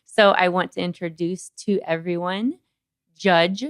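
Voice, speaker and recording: A woman's voice: fairly low pitch, fairly even intonation, a little slow, smooth, no room sound. A woman hosting a podcast as a doctor, talking in a chatty, informal interview style. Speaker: podcast host, OB-GYN doctor.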